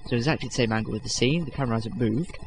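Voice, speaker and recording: A man's voice: mid-range voice, rapid-fire, slight background noise. A young man hosting a casual video-editing tutorial, rambling with lots of fillers and self-corrections, self-deprecating. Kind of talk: unscripted screen-recording tutorial.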